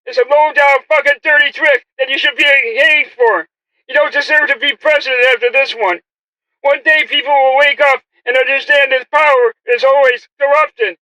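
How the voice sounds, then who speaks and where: A man's voice: extremely high pitch, steady tone, moderate pace, pushed and bright, no echo, highs cut off. A man delivering a confrontational, taunting monologue full of swearing and rhetorical questions. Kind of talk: profane taunting monologue addressed to an absent opponent.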